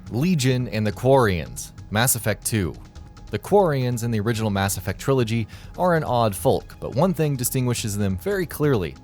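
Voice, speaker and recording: A man's voice: medium pitch, expressive, normal speed, a little mellow, soft background music. A man reading scripted countdown narration in a wry, conversational way. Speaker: video narrator.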